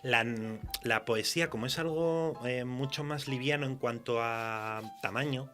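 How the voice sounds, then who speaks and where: A man with a Castilian Spanish accent chatting casually and colloquially, often halting to search for words. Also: medium pitch, fairly bright tone, soft background music. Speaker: horror fiction writer. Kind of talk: casual conversation.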